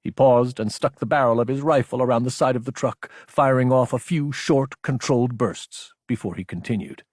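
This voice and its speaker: A man's voice: medium pitch, normal speed, few pauses, a little mellow, no echo. A man narrating action fiction as a scripted audiobook, recorded close on the microphone.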